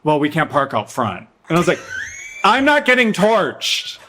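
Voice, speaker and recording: A man's voice: a little high, huge pitch swings, measured pace, bright, forceful tone, slight background noise. A man co-hosting a podcast, in casual chat, retelling stories with sassy, dramatic flair. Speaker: podcast co-host.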